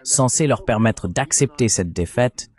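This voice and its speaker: A man's voice: mid-range voice, lively intonation, a little slow, a little mellow, breathy edge. A man's AI-generated voice reading a translated political commentary in an explanatory, rhetorical manner. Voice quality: synthetic AI voice. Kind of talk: translated voice-over of a panel discussion.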